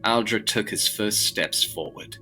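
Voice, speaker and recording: A man narrating a scripted gothic audio drama with solemn, dramatic and deliberate phrasing. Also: fairly low pitch, sharp tone, rough recording. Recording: music behind the voice.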